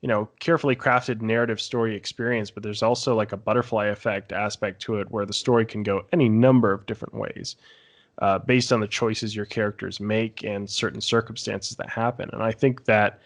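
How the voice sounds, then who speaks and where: A man with a fairly low voice chats informally on a podcast. He rambles along in a casual storytelling way, with the odd swear word. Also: fairly even intonation, brisk. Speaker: podcast guest or panelist. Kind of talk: casual chat recounting tabletop game sessions.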